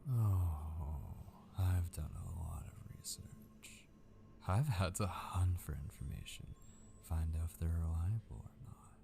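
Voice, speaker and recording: A man playing an obsessive, possessive kidnapper in an intimate ASMR roleplay, gleefully menacing and patient, slow and teasing, drifting toward whispers. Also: noisy background, exaggerated voice. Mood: possessive, seductive. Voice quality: low, creaky.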